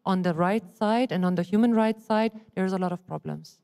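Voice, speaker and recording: A woman speaking in an academic conference discussion, reflective and pausing to search for words. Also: mid-range voice, gravelly, mellow, a little hiss or hum. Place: conference hall. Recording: reverberant hall.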